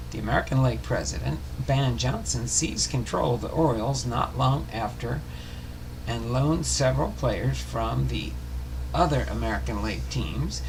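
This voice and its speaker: Gravelly man reading aloud haltingly. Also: mid-range voice, background noise. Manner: halting, stumbles over words and rereads. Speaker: host of a baseball history series. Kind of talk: reading a baseball biography aloud.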